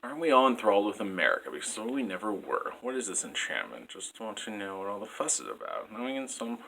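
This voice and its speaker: A man's voice: fairly low pitch, sing-song, fast talker, fairly bright tone, low-quality recording. A man in his twenties reading his poetry aloud as a spoken-word performance. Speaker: poet. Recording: clear background noise.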